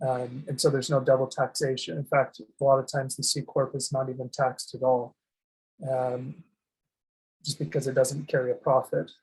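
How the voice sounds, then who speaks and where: Husky monotone man explaining finance. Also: mid-range voice, moderate pace, poor audio. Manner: monotone, many ums and restarts. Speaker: asset protection presenter. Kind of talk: explaining a slide presentation.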